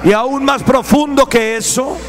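A man interpreting a sermon live in a church, matching the preacher's rising fervor in quick bursts. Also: very high voice, faint music behind.

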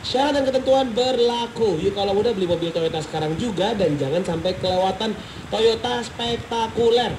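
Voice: a little fast, background noise. A radio advert announcer, reading a casual promotion for young listeners. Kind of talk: casual youth-targeted advert read. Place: captured in a room from a radio's speaker. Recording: radio aircheck from a boombox speaker, roomy.

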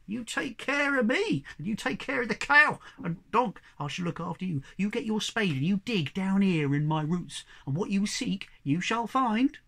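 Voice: medium pitch, expressive, normal speed, gravelly, few pauses, pushed and bright, pitched above the performer's own voice, full character voice. A storyteller voicing a wizened, kindly little old tree-spirit man, solemn and incantatory, in a folk tale. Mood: solemn, benevolent.

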